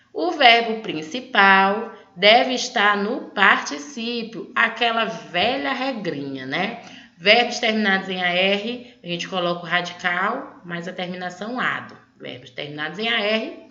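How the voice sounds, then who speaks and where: A woman's voice: medium pitch, pushed and bright. A Brazilian woman giving an online grammar lesson as a language teacher, explaining didactically and often checking that students follow. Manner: didactic, checks understanding with short tag questions. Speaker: Spanish teacher. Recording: echoey room, slightly dull audio.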